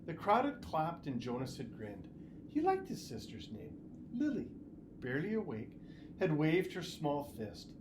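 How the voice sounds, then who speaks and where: Animated man reading a story aloud. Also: on the high side, a little slow. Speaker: school superintendent reading aloud. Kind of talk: expressive audiobook-style story reading. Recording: noticeable background noise and ambience.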